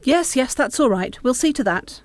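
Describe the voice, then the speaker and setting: An actress playing a practical, persuasive French mother of a grown daughter in a radio play, brisk and pressing. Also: high voice, lively intonation, no room sound. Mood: urgent, persuasive.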